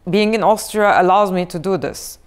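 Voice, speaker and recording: A woman from Turkey, an artist and researcher, speaking thoughtfully as a panel guest and often pausing with fillers. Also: medium pitch, clean tone. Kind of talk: casual conversation.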